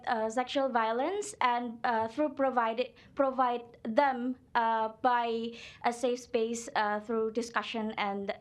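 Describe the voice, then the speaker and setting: A woman with an Indonesian accent giving a halting self-introduction at a formal gathering, searching for words. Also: a little high. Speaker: visiting NGO founder. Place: legislative chamber, live stream.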